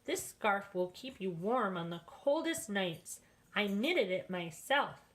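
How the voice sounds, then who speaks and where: A woman as a kindly, slow-talking storybook grandmother. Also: mid-range voice, very animated, a bit bright. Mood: fond. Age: older. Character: kindly, hospitable old grandmother, chuckling and fussing. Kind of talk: children's storybook read-aloud.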